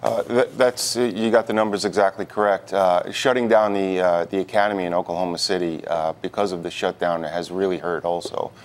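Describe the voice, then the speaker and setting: A man answering questions as a guest in a live TV news interview, speaking haltingly with repeated words. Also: low voice, steady tone. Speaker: union president, interview guest.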